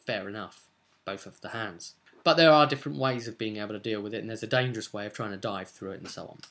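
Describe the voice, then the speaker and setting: A man's voice: lowish voice, fast talker, fairly bright tone. A young adult man talking casually as a game master explaining a tabletop role-playing session, stressing key words conversationally. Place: small cluttered room at home, indoors.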